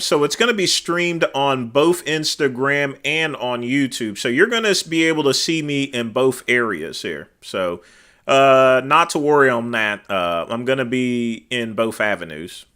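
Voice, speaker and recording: A man hosts a casual livestream into a desk microphone, chatting with viewers and answering their comments. His voice is naturally loud and cuts through, with a bright, forceful tone. He rambles through fillers and lets the ends of his phrases drop to a mutter. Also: on the high side, unhurried, smooth. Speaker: livestream host.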